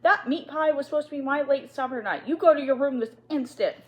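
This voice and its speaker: A woman reading aloud voices a harsh, shrill headmistress who scolds and shouts, out of breath from climbing stairs. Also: steady tone, fast, highs cut off, close to an everyday voice. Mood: furious scolding.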